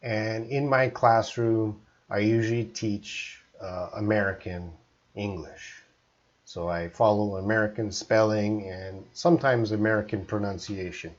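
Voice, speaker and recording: A man's voice: on the low side, steady tone, a little slow, muffled recording. A man in his forties from Canada with a faint Canadian accent, teaching English on a live stream and chatting with viewers as he reads out their comments. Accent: mild Canadian.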